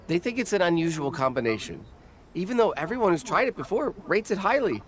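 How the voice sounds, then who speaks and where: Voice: mid-range voice, very animated, fast talker, close to an everyday voice. An actor voicing a humble, nervous young food-stall vendor in a video game, deferential, hesitant and earnest. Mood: sheepish. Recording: music behind the voice.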